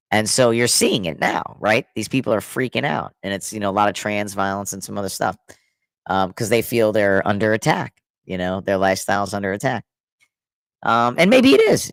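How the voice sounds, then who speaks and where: A man's voice: on the low side, creaky, fairly bright tone, dry recording. A middle-aged man with a scratchy throat from being sick, chatting loosely on a podcast, rambling and restarting his sentences. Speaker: podcast co-host. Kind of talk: casual chat.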